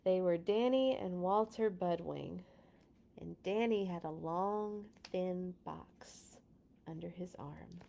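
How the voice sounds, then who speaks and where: Expressive woman reading stories aloud. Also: on the low side, takes it slowly, gravelly, slight background noise. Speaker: children's read-along host. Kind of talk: expressive storybook read-aloud with casual asides.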